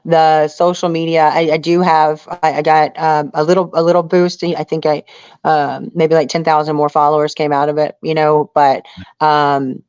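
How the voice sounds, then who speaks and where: A woman's voice: deep voice, little pitch movement, moderate pace, a little mellow, clear, resonant tone, no echo. A middle-aged American woman gives a casual, earnest interview. She rambles conversationally and leans on "you know" as she goes. The sound is close and dry, a little muffled on top. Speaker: interview guest, outdoor survival show contestant and angler.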